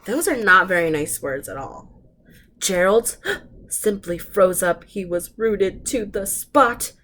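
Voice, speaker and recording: A woman reading picture books aloud to young children, animated and encouraging, with chatty asides to the listeners. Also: mid-range voice, takes it slowly. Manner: animated, encouraging, talks directly to little listeners. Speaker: storytime reader for young children.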